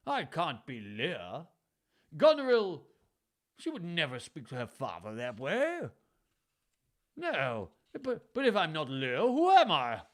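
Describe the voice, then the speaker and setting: A man's voice: high voice, very animated, slow pace, voice pushed up, full character voice. A man voicing a proud old king who whines and rages, read aloud from a storybook. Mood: bewildered.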